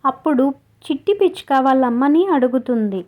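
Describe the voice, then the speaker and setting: A woman's voice: high-pitched, expressive, a little fast, muffled recording. A woman narrator reading a scripted story for children.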